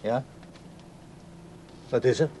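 An actor playing a stubborn, weary old father in a radio drama, speaking in a gruff, curt way. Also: slow, warm tone, natural voice. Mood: insistent. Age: older. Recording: faintly noisy radio play recording.

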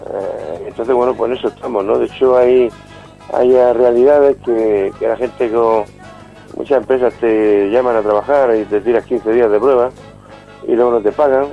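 A man in his fifties with an accent from Spain, giving an informal interview as an activist guest, halting and fond of tag questions. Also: medium pitch, steady tone. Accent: Spanish from Spain. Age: 50s. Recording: dull band-limited call with music behind.

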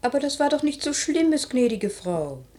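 An actress playing an outspoken, stubborn maidservant in a classical radio drama, insistent and theatrical. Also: female voice, high voice, big pitch swings, normal speed, dark tone. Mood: insistent, gloomy.

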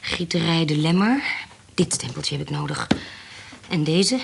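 A woman's voice: sits low, lively intonation, a little slow, creaky, noisy background, heavily acted. An actress playing a cunning young woman who poses as a widowed baroness, poised and politely formal, in a radio drama. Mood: sly.

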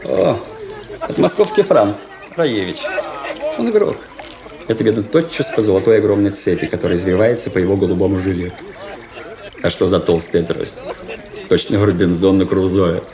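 A man's voice: low voice, normal speed, long pauses, warm tone. An actor playing a vain, embittered young military cadet in a radio drama, pompous and affected in his declamation. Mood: gossipy, scornful. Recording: radio play with prominent music behind. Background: music.

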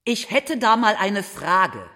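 An actor playing a persistent, mockingly polite questioner in a radio play, halting with feigned confusion and sarcastic asides. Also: mid-range voice, very animated, sharp tone, heavily acted. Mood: feigned hesitant politeness. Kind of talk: theatrical radio play monologue.

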